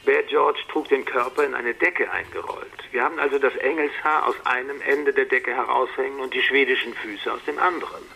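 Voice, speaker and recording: A man playing a matter-of-fact ex-detective in a radio play, with a rough, husky voice. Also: medium pitch, fairly even intonation, fairly quick, few pauses, pushed and bright, a little hiss or hum, low-quality recording. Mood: matter-of-fact, intimate. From Netherlands. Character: male Dutch ex-detective reporting by phone, matter-of-fact.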